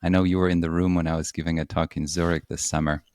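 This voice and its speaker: A man with a Polish accent hosting a podcast, asking measured, open questions of his guest. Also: low voice, level tone, darkish tone, dry recording, studio quality. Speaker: podcast host. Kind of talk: interview.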